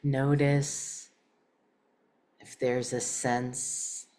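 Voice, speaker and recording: Very low-voiced woman guiding meditation. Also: level tone, warm tone. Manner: measured, pausing between short phrases. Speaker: meditation teacher. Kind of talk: guided meditation.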